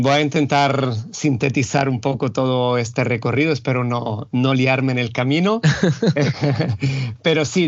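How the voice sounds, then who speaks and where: Italian-born male guest, measured and searching, mid pitch, dull dry sound. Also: no echo. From Italy. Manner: thoughtful, searching for words, measured. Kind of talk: reflective interview talk. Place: home, Barcelona.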